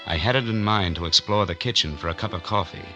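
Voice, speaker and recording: A man's voice: low voice, fast, highs cut off. An actor playing a broke, wry drifter who tells his story in laconic hard-boiled 1940s radio noir style. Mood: brooding narration. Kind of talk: 1940s radio noir acting and first-person narration. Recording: vintage 1940s radio recording with music behind.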